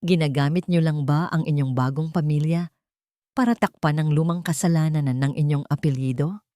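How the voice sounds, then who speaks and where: A woman's voice: sits low, normal speed, mellow, dry recording, clean studio sound, lightly acted. A woman narrator as a formal, probing defense attorney. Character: polite but challenging defense attorney.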